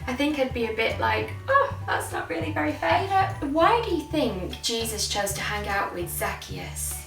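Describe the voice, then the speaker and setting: A woman's voice: on the high side, very animated, normal speed, gravelly, fairly bright tone. A woman leading children's Sunday school, explaining simply and asking lots of questions. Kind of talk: interactive children's Bible teaching. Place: indoor church studio. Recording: echoey room, distant mic, faint music.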